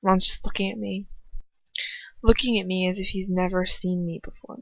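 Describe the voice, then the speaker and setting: A woman reading a first-person story dramatically, in an emotional, halting amateur delivery. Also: medium pitch, little pitch movement, gravelly, no echo, muffled recording. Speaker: amateur fan fiction reader.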